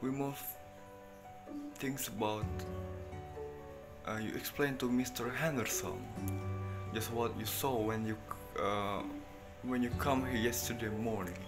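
A young man playing a small-town sheriff in an amateur student play, reading his lines haltingly and hesitantly. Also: mid-range voice, close to an everyday voice. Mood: halting, matter-of-fact. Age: young adult. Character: Henry Peters, small-town sheriff reporting on the scene. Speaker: student actor in a class play. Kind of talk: amateur stage-play acting. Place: indoor kitchen. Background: music.

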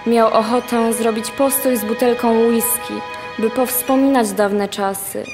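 A woman's voice: a little high, a little mellow. A young woman reading scripted narration as the narrator of a radio play. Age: young adult. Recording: long reverb, music behind. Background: music.

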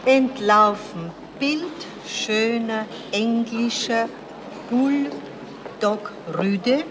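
An actress playing a proud, insistent dog owner in a 1970s German radio crime comedy. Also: female voice, fairly high pitch, drawn-out words, background noise. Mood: dictating slowly. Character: doting, proud, haggling female dog owner. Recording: 1977 radio play, voices behind.

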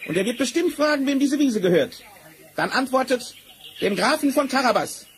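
Casting: cunning fairy-tale tomcat, extremely high and swooping, bossy, wheedling; noisy old vinyl. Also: male voice, lively intonation, normal speed. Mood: commanding. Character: sly, bossy talking tomcat in boots. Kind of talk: 1970s German fairy-tale radio play acting. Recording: noisy vinyl record transfer.

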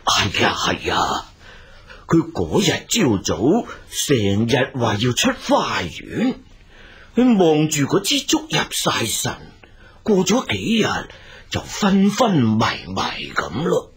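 Fretful master, wildly swooping voice. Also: male voice, a little high, huge pitch swings, slow, a bit bright, husky, exaggerated voice. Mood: pleading. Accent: Hong Kong Cantonese. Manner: fretful, exclamatory, humble to the healer. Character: anxious, deferential wealthy older father and household master. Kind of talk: Cantonese radio drama acting.

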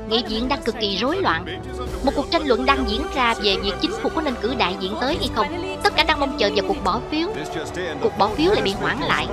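A woman reading a scripted cartoon voice-over translation, speaking for every character herself. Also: on the high side. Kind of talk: scripted cartoon dub narration, one reader voicing every character over the original. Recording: loud film soundtrack underneath. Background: original cartoon audio and music.

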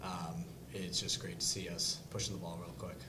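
A young man, a college lacrosse player answering postgame press questions at a table mic in an easygoing, soft-spoken way. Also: deep voice, flat delivery, normal speed, background noise. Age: young adult. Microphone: table mic on stand.

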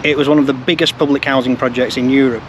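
Male vlog presenter, mid-pitched, informative walk-and-talk commentary, recorded outdoors with noticeable traffic noise. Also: fairly even intonation, quick pace. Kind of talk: informative location commentary to camera. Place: outdoors beside busy urban roads. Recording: outdoor street noise from passing cars.

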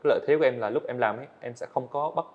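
Fast-talking earnest eighteen-year-old man. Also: mid-range voice, phone-quality sound. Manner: earnest, storytelling, answers politely with "dạ vâng". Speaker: guest, animator. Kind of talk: podcast interview. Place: indoor studio set.

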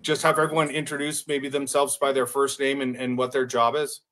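A man in his sixties hosting a live online presentation, measured, with hesitant pauses. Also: mid-range voice, flat delivery, fairly bright tone. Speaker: live show host. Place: hotel room. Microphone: webcam on a video call.

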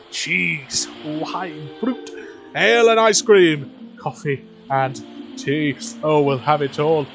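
A reformed old miser in an audio drama, now a giddy, generous uncle. His voice runs high and rapturous, tumbling through repeats. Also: rough recording. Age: older. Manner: rapturous, breathless listing and repeating.

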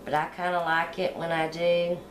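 A middle-aged woman hosting a cooking channel, explaining step by step how to decorate a sugar egg at home in a relaxed, homey way. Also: fairly low pitch, normal speed, echoey room, a little hiss or hum, poor audio. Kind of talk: hands-on decorating tutorial.

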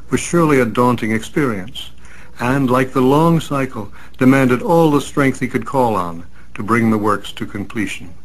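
Guy reading a formal literary essay, mid-pitched, measured. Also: low-quality recording. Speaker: commentator, series artistic director. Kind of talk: scripted commentary.

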